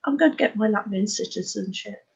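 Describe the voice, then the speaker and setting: Older English woman, sixties, husky voice on slightly dull audio, giving a frank, unpolished personal account as a guest. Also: medium pitch, brisk. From Oxfordshire, England. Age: 60s. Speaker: interview guest. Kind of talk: candid personal life story in conversation.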